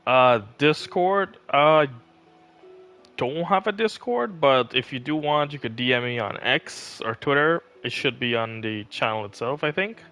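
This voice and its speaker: A man talks casually to his game stream. His voice is bright and of average pitch, and his manner is polite, offhand and a little hesitant. Faint game music runs behind him. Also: measured pace, smooth. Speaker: livestreamer.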